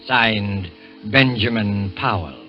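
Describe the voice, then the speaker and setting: An actor playing an ominous, confiding narrator of a 1940s radio suspense drama, speaking with dramatic deliberation. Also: male voice, on the low side, takes it slowly. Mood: formal, solemn. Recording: dull vintage radio recording. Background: music.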